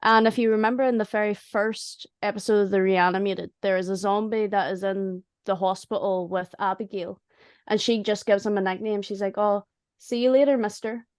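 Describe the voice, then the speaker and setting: A woman's voice: medium pitch, fairly even intonation, normal speed, darkish tone, clean tone, dry recording. Dry-sounding woman chatting enthusiastically. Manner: enthusiastic, colloquial. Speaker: audio drama creator on a panel. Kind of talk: casual chat.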